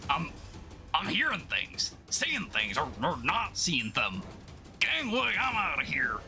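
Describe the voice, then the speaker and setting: A man acts out a jumpy, colloquial working-class guy in a comic-book drama. His excitable voice swoops wildly, with music behind him. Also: a little high, takes it slowly, exaggerated voice. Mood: alarmed. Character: jumpy working-class everyman, cabbie or cop.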